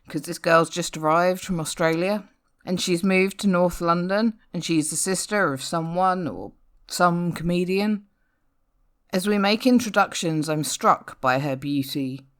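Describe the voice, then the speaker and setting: A woman reading a comic narrative poem aloud in a wry, theatrical, expressive way. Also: on the low side, measured pace. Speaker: poetry reader. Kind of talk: dramatic spoken-word poem reading, rhyming storytelling.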